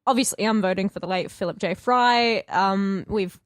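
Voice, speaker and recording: A woman's voice: mid-range voice, normal speed, no echo, studio quality. A woman co-hosting a podcast, chatting casually and peppering her talk with filler words like "like". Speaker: podcast co-host. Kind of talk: casual fan podcast chat.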